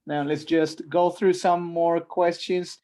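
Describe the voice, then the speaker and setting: A man's voice: a little high, level tone, slow pace, mellow. A man leading an online teacher training session, politely calling on participants and thanking them.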